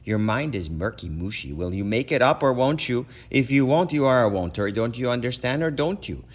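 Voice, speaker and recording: A man's voice: medium pitch, lively intonation, a little fast, husky. A man voicing a pompous storybook figure who lectures and scolds emphatically. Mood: scolding. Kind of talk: children's storybook read-aloud.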